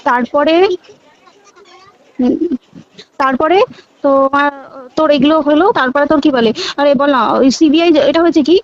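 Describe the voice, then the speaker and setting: A woman's voice: sits high, fairly even intonation, normal speed, long pauses, no room sound. A young woman with a West Bengal accent talking casually and emphatically on a recorded phone call, repeating words and checking that her listener follows.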